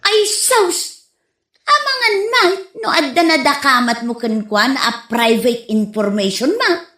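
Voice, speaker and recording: A fretful, gossipy neighbour played by a woman speaks in a very high voice with an Ilocano accent. The sound is a roomy, echoing radio comedy drama. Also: animated, slow pace, sharp tone, heavily acted. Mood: alarmed. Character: fretful, gossipy female neighbour friend warning of scams.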